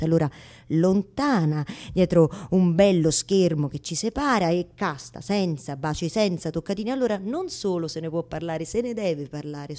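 A woman's voice: medium pitch, a little mellow, lightly acted. An actress performing a neurotic, lovelorn woman in a rambling, colloquial theatrical radio monologue addressed to God, full of repetitions and tag questions. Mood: sardonic. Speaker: stage actress in a solo monologue.

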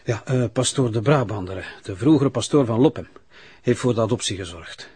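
A man's voice: medium pitch, normal speed, a little mellow, husky. An actor playing a plain, hesitant Flemish father with a folksy manner in an audio drama. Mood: resigned. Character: plain, hesitant working father calming his wife.

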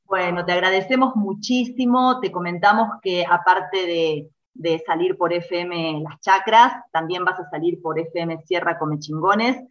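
A woman's voice: fairly low pitch, measured pace, hardly any pauses, highs cut off. An Argentine woman hosting a radio interview, chatty and upbeat, with small restarts as she introduces her guest. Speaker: radio host.

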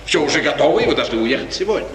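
An actor playing a smooth, scheming courtier in a florid, insinuating, falsely friendly manner, in 1950s radio theatre style. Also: male voice, fairly high pitch, expressive, rapid-fire, far from the mic, a loud crowd, close to an everyday voice. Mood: pressing, insinuating. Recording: noisy echoey 1950s radio drama recording.

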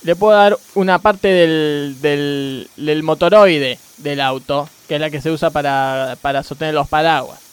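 A man plays a defensive, absurd mechanic with an Argentine accent. His voice is fairly high and very clear, and he stumbles through repeated excuses in radio sketch style. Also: fairly even intonation, a little slow, few pauses, natural voice. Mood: stubborn, evasive. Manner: hesitant, repetitive excuses. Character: evasive, absurd male car mechanic, stubborn and defensive. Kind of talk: improvised-sounding radio comedy sketch.

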